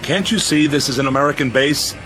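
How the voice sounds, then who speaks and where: A man playing a gruff, impatient American air force major in a radio drama, brusque and commanding. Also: on the high side, brisk, music in the background, close to an everyday voice.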